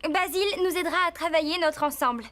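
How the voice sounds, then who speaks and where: Sensible, very high-voiced teen girl. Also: quick pace, very noisy. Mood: upbeat. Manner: reassuring, level-headed. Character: sensible, kindly teenage girl rider. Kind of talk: French cartoon dubbing.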